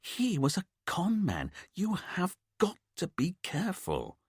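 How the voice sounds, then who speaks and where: A man voicing an uptight, fussy detective, clipped and exasperated, pitched a touch high. The delivery rises and falls sharply, with a dry, close sound. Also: wildly swooping pitch, takes it slowly, husky, no room sound, exaggerated voice. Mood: stern.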